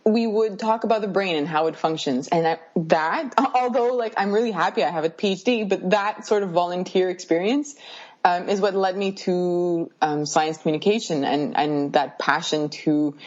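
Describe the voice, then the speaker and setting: A woman neuroscientist guest explaining her background in a lively, eager way, often laughing. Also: fairly low pitch, moderate pace, lo-fi sound. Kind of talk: casual interview explanations.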